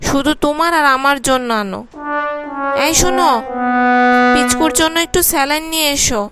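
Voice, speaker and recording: A woman voicing a scolding, shrewd cartoon mother with a nagging, exasperated manner. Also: high voice. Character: scolding, shrewd mother of a naughty little boy. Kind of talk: comic cartoon voice acting.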